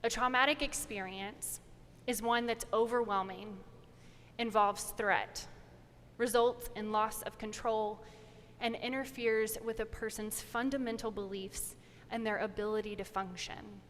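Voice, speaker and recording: A woman preacher delivering a measured, prepared sermon in church. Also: on the high side, fairly even intonation, fairly bright tone. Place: large church indoors. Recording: reverberant church, audible noise.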